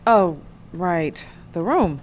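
A woman's voice: on the low side, animated, drawn-out words, heavily acted. A woman playing a guarded, weary grown daughter, terse and dry, in an audio drama. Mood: awkward, resigned. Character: guarded, weary grown daughter reluctantly back in her hometown. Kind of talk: naturalistic audio drama acting.

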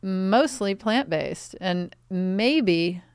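A woman co-hosting a podcast in casual chat, wry and teasing with quick interjections. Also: mid-range voice, lively intonation, clean tone, dry recording, clean studio sound. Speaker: podcast co-host.

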